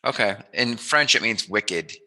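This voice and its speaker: A man hosting a casual, joking interview, rambling and teasing and quick to laugh. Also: lowish voice, expressive, bright, forceful tone. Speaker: interviewer, host.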